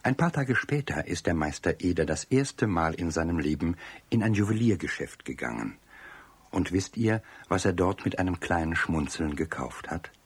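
A man narrating a children's radio play as scripted storytelling, expressive and speaking directly to young listeners. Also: low-pitched, normal speed, slightly rough, dry recording.

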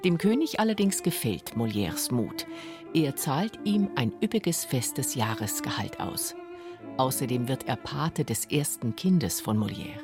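A woman's voice: a bass voice, very animated, measured pace, music in the background. A woman narrating a scripted radio documentary.

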